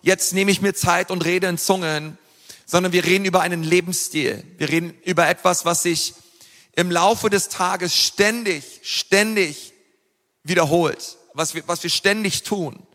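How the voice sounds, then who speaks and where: A man delivers a sermon with earnest emphasis, often pausing on fillers and restarting phrases. His voice sits high and rings bright and forceful. The sound carries a long reverb from a large church hall. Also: steady tone. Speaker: preacher.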